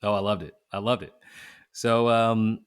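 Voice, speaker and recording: A man with a very deep voice and an American accent hosts a podcast. He chats casually with his guest and sounds enthusiastic and affirming. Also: fairly even intonation, unhurried, smooth. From northern United States. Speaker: podcast host. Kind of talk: casual podcast interview chat.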